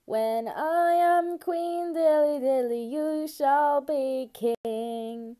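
Slow, high-pitched woman singing a lullaby. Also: dark tone, faint music behind. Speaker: singer.

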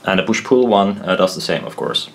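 A man giving an informal tutorial at a desk, thinking aloud in a halting, self-deprecating way. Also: low-pitched, big pitch swings, vocal fry, low-quality recording. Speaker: presenter explaining loudspeaker technology. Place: indoors at a desk.

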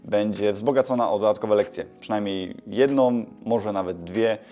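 A man with a lowish voice talks casually to his viewers as a video creator. He explains things informally and rephrases himself along the way. Soft music plays faintly behind him. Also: moderate pace. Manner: informal, self-correcting, explanatory. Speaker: YouTube creator and host. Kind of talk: casual vlog update to camera.

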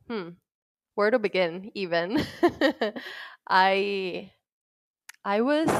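A woman in her thirties, telling her life story as an interview guest in a reflective, soft-spoken way, pausing to search for words. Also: medium pitch, clean tone, no room sound. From Puerto Rico.

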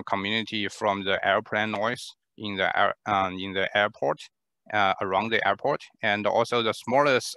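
A man, presenting his research lab in an online talk, halting with many fillers and restarts, on a headset mic in a small room. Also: fairly low pitch, sharp tone, no echo. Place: small room at home, indoors.

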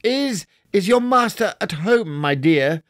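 A man's voice: high voice, animated, slow pace, a bit bright, no echo, clean studio sound, pitched above the performer's own voice, exaggerated voice. A man reading a storybook and voicing a reformed old miser, eager and effusive. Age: older.

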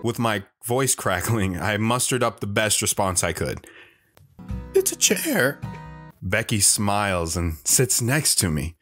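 A man plays a wistful guest reminiscing in an audio drama. His voice is on the low side with lively swings in pitch, over soft music. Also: slow, full character voice. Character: wistful man recounting his youthful romance. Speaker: talk show guest telling his story. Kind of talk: dramatized storytelling.

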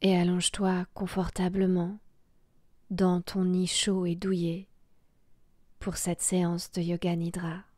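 Female meditation guide. Half-whispered, breathy and soothing, very unhurried, close and dry sound. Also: fairly low pitch, monotone, no room sound. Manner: slow, soothing, hushed delivery with long pauses. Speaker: yoga nidra guide.

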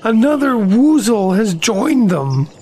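A woman voicing a gentle, earnest, slow-witted male bear in a children's audio drama, musing aloud in a ponderous, solemn way. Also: a little high, sing-song, slight background noise. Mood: puzzled.

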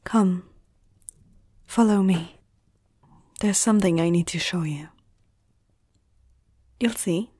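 A woman playing a gentle, protective vampire in an ASMR roleplay, soft-spoken and often slipping into a whisper, soothing a human. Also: fairly low pitch, takes it slowly, pauses often, slightly rough. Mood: gentle, earnest.

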